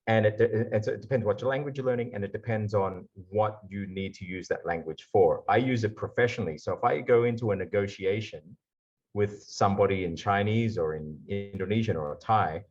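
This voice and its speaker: A man with an Australian accent giving a lively conference talk over a video call. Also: fairly low pitch, highs cut off. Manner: lively explainer, slipping into put-on accents for examples. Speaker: guest speaker.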